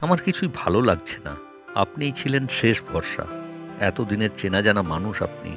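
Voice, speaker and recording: Cartoon casting: anxious grown son, deep and very expressive, pleading, music underneath. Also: male voice, moderate pace, vocal fry. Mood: pleading, dejected. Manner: pleading, fretful, protesting. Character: anxious, devoted adult son fretting over his old father. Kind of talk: animated cartoon dialogue. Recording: music behind the voice.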